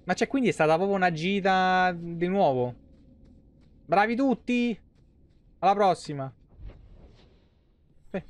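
A man's voice: high-pitched, measured pace, long pauses. A man, giving casual, joking, foul-mouthed live-stream commentary. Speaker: video game streamer. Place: small home room, indoors.

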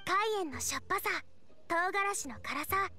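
A woman's voice: very high voice, animated, normal speed, bright, forceful tone, exaggerated voice. A woman voicing a spirited teenage girl chef in an anime-style game, earnest, confident and girlish. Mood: explaining. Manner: earnest, girlish, plain-spoken.

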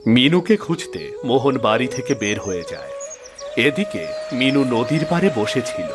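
A man's voice: mid-range voice, sing-song, moderate pace. A man giving scripted storybook narration for a cartoon fairy tale. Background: music.

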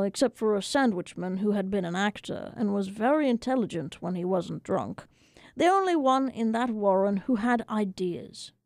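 A woman's voice: mid-range voice, gravelly, darkish tone, no echo. A woman narrating a first-person story as a dramatic audiobook reading, recorded in a radio studio.

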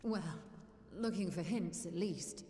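An actress playing a proud, determined and wry scholar-sorceress in a fantasy game, speaking with composed, formal, old-fashioned phrasing. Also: female voice, on the low side, takes it slowly, mellow, echoey room, big-room sound. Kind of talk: scripted fantasy game voice acting.

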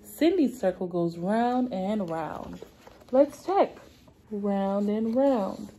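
A woman's voice: mid-range voice, expressive, very slow, dark tone, a little hiss or hum, low-quality recording. A young woman speaking as a preschool teacher, reading aloud to small children in simple, repeated, stretched-out phrases. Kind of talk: children's read-aloud lesson. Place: indoor classroom.

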